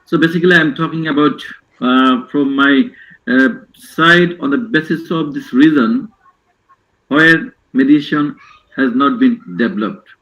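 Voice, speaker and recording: A man's voice: medium pitch, measured pace. A man from Bangladesh addresses a conference over a video call. He opens formally but trips over his greetings and repeats himself. The call audio sounds dull and lo-fi. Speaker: judge, conference speaker.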